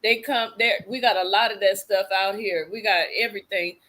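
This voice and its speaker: A woman's voice: medium pitch, steady tone, normal speed, fairly bright tone, low-quality recording. A woman hosting a livestream in casual chat, rambling and acting out what people said. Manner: chatty, rambling, acts out quotes. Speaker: livestream host. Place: desert home.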